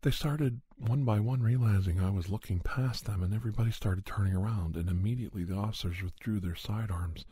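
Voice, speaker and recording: A man telling a spooky first-person story in a soft, breathy ASMR style, often near a whisper, in deliberate short phrases with pauses. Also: fairly low pitch, no room sound. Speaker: podcast storyteller.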